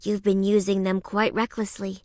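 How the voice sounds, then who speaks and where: An actress playing a perky but sinister reaper nurse in a video game, sugary and polite with menace underneath. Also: female voice, mid-range voice, level tone, normal speed, darkish tone, no room sound, close to an everyday voice. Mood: sweetly professional, menacing. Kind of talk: theatrical video game character acting.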